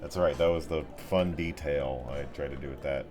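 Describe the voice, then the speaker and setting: A man's voice: very deep voice, steady tone, normal speed, a little mellow. A man narrating an improvised tabletop game as game master in a casual, descriptive way. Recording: music behind the voice.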